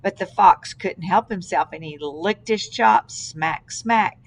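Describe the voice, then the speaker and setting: A woman reading a children's story aloud in an expressive way, with dramatic, deliberate phrasing. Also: on the low side, vocal fry. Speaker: storybook reader.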